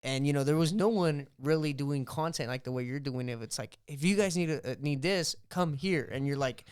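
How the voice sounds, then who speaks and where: A man's voice: a little high, fairly quick, darkish tone, clean tone. A young man hosting a podcast, talking in a casual, slangy way and animatedly retelling what happened. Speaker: podcast host.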